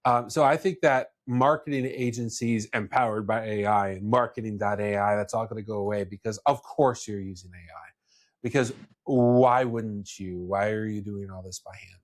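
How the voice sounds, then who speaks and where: A man's voice: fairly low pitch, normal speed. A man speaking casually as a podcast host, explaining his views with restarts and asides.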